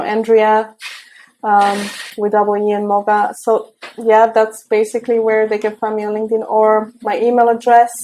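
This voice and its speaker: A woman from Romania speaks with a Romanian accent as an interview guest on a video call. Her voice is warm and mellow, and she talks hesitantly, pausing on fillers and restarting her sentences. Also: on the high side, rough recording. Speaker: guest, tech mentor.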